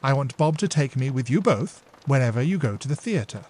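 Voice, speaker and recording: A man's voice: mid-range voice, animated, brisk, a little mellow, natural voice. A man voicing an impetuous, playful younger sister who talks eagerly and coaxingly.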